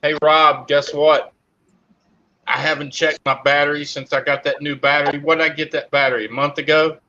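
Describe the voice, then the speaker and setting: A man's voice: fairly high pitch, little pitch movement, fairly quick, pushed and bright. A man in his fifties on a live stream panel, joking and teasing in casual chat, laughing often. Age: 50s. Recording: dull lo-fi stream audio.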